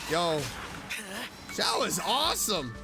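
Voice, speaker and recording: Animated woman streaming a game, unhurried pace. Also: lowish voice, vocal fry. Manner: animated, exclaiming, casual slang. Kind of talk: casual gameplay commentary. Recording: game music behind the voice. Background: video game music.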